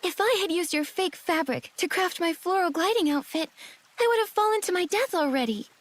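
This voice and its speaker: High-voiced plucky young heroine. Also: female voice, lively intonation, brisk. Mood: indignant. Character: plucky indignant young female stylist adventurer. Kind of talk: game cutscene voice acting.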